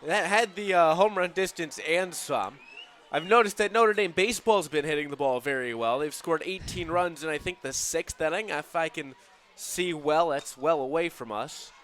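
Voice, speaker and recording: A man working as color commentator on a live softball broadcast, explaining the plays in an analytical way. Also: on the high side, normal speed.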